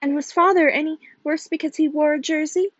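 A woman's voice: high-pitched, steady tone, brisk, no echo, highs cut off, pitched above the performer's own voice, natural voice. A woman voicing a patient, spirited young woman in a storybook, with an earnest, pleading manner.

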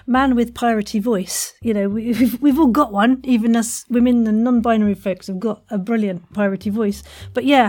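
A woman's voice: fairly high pitch, a little mellow. A woman hosting a casual interview, effusive and wordy in her phrasing. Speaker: podcast host, interviewer.

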